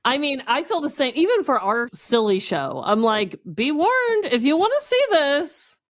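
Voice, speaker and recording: Female podcast co-host, high-ish voice with big swings in pitch, casual delivery. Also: sing-song, normal speed.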